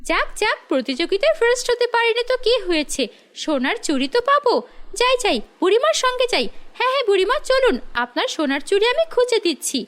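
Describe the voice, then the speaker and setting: A jealous, scheming cartoon girl played by a woman. The voice is extremely high and whiny, spilling out in quick, excitable bursts. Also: full character voice. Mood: greedy glee. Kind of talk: animated fairy-tale voice acting.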